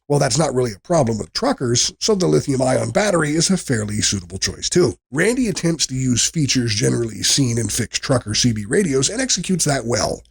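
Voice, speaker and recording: Male review narrator, scripted read, mid-pitched, markedly husky and rough.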